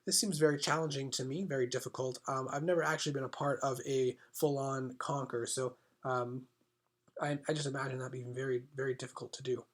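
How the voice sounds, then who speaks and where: A man with a congested voice from a cold, explaining game rule changes in a casual, hesitant way, often clearing his throat. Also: medium pitch, steady tone, brisk. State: congested, slightly sick. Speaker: gaming channel host.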